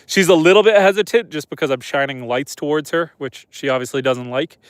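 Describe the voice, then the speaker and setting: A man from Canada talks in a loose, easygoing way, his voice thick and nasal from a head cold. His voice sits a little high for a man. The sound is dry and close, with no room echo. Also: fairly quick, smooth. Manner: relaxed, chatty, soft-spoken to the animals. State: stuffed-up nose from a head cold. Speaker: homesteader streaming live. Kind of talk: casual live-stream chat reading comments. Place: outdoors at night on a farm.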